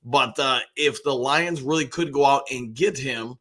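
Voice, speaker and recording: An American man with a slightly hoarse voice, chatting in a rambling, conversational way as he hosts a casual live sports fan show. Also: medium pitch, a little slow, bright, forceful tone. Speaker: sports show host, basketball coach and realtor.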